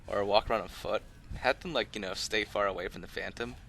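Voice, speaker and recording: Bright-voiced low-pitched man casually commentating. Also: a little fast, vocal fry, slight background noise, low-quality recording. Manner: rambling, conversational, easygoing. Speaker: host of a gaming video channel. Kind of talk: casual unscripted gameplay commentary, tutorial.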